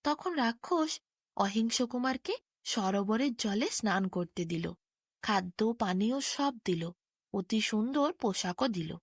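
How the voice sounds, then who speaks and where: A woman storyteller reading a scripted bedtime fairy tale with expressive, clear phrasing. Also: on the high side, a little slow, no room sound, clean studio sound.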